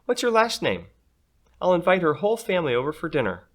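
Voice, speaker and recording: A man's voice: fairly high pitch, sing-song, fast, a bit bright, pitched above the performer's own voice. A man voicing a kindly, attentive mother with a doting, inquisitive manner in a storybook. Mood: cheerfully curious.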